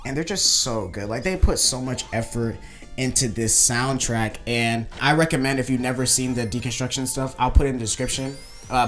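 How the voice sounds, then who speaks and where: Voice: medium pitch, a bit bright. Someone giving casual, gushing video game commentary in an enthusiastic, emphatic, rambling way. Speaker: video creator reviewing a game. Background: game music.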